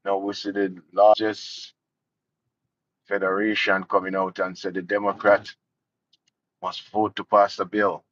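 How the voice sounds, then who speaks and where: A man with a Jamaican accent talks through casual political commentary, sarcastic and indignant. His voice sits low, and the recording is dull and lo-fi, dry and close. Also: completely flat delivery, measured pace, long pauses, a bit bright, no echo. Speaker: vlogger.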